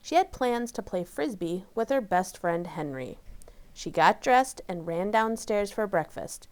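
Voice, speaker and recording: A woman reading aloud to children in a careful, deliberate storytelling manner. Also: mid-range voice, animated, a little mellow. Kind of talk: children's read-aloud narration.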